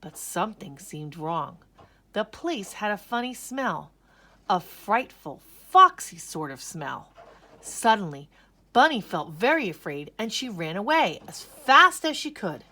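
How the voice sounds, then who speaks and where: A woman narrating a children's story aloud with expressive, dramatic emphasis. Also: mid-range voice. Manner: slow, animated, dramatic emphasis.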